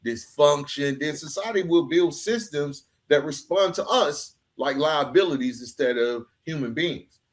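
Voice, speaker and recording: A man's voice: a little high, a little slow. A middle-aged man from Atlanta hosting a casual interview, talking informally and peppering his speech with "bro". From Atlanta, United States. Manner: informal, peppers talk with "bro" and "you know what I'm saying". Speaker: podcast host.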